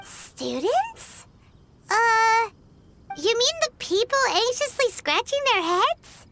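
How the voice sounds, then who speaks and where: A woman voicing a tiny, childlike, cheeky fairy companion in a video game, with a squeaky voice. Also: big pitch swings, takes it slowly, background noise, heavily acted. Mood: skeptical. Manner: refers to herself by name. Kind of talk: English video game voice acting. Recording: music behind the voice.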